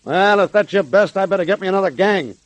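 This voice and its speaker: A man's voice: high voice, quick pace, no echo, lightly acted. An actor playing a bullying, blustering gang boss with slangy tough-guy talk in a 1930s radio drama. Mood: angry, scolding. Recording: vintage 1930s radio recording.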